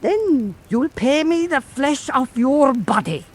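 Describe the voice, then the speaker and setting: A man voicing a menacing little man in a folk tale, demanding payment with sharp insistence. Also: extremely high pitch, animated, takes it slowly, voice pushed up, full character voice.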